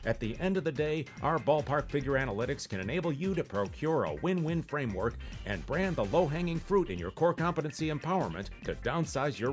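A man playing a slick, glib corporate pitchman in a salesy parody radio advertisement. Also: natural voice.

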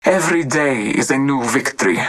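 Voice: medium pitch, lively intonation, fairly quick, fairly bright tone. An actor playing a devout, honor-bound masked hunter warrior in a video game, speaking in formal, solemn callouts. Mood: reverent, resolute.

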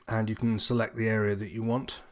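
Low-voiced man explaining informally. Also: flat delivery, brisk. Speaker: sailing instructor presenting tutorial. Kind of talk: informal app walkthrough, unscripted explanation.

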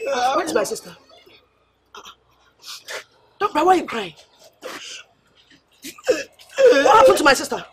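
A woman's voice: high voice, very animated, unhurried, pauses often, heavy background noise. An actress with a Nigerian accent playing a bewildered, desperate mother in an emotional film melodrama, insistently questioning and pleading. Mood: worried confusion.

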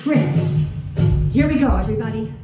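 A middle-aged woman playing a kindly, excitable Japanese grandmother, telling a children's story theatrically and addressing the audience. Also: a little high, moderate pace, pitched below the performer's own voice, lightly acted. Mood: urgent, rallying. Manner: exclamatory, animated, addressing the audience directly. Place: living room at home. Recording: very echoey room, faint music.

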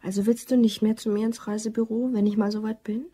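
A woman's voice: mid-range voice, flat delivery, fast talker, mellow, slightly rough, close to an everyday voice. A woman playing a dry, knowing, sceptical office worker in a German crime radio drama.

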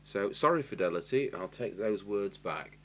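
Deep-voiced Englishman, halting workbench tutorial. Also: animated. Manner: halting, matter-of-fact, thinking aloud while working. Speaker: radio repair technician giving a demonstration. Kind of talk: unscripted hands-on tutorial narration. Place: indoor electronics workbench. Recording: clear hiss and electrical hum.

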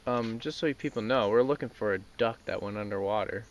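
A man's voice: lowish voice, fast. A young man giving casual, informal running commentary to viewers while filming outdoors from a canoe. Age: young adult. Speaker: vlogger filming a canoe trip. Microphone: handheld camera mic. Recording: noisy outdoor handheld camera recording.

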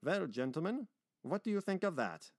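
A male narrator puts on a shrewd man of business for a novel reading. He is brisk, formal and pointedly courteous, with a dry sound. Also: mid-range voice, big pitch swings, dry recording, close to an everyday voice.

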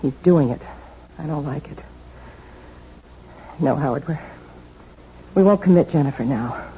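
Cold, mellow-voiced radio-play conspirator. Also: male voice, fairly high pitch, measured pace. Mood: cold, guarded. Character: cold, calculating co-conspirator in a murder plot. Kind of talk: 1970s radio drama acting. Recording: muffled, noisy 1970s radio broadcast.

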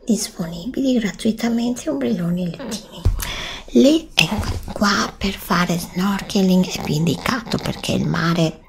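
A woman doing a soft-spoken, often whispered ASMR roleplay as a travel agent, breathy and hesitant, close to the microphone. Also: medium pitch, a little slow, background noise. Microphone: desktop USB microphone, close.

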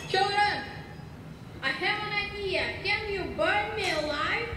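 A woman's voice: very high voice, measured pace. A woman voicing an eerie preacher figure in a fan-made horror game mod. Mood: eerie, beckoning. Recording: echoey, noisy amateur recording.

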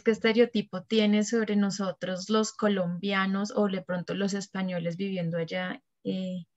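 A young woman, a Spanish teacher, asking her student clear and encouraging interview questions over a video call. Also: medium pitch. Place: room at home. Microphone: laptop webcam on a video call. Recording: dull lo-fi video call audio.

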